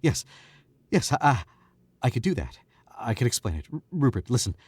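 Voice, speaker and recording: A man's voice: mid-range voice, big pitch swings, normal speed, a little mellow, slightly rough, no echo. An actor playing a nervous young male murderer in a 1940s-style radio play, stammering and pleading. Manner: stammering, pleading, self-justifying.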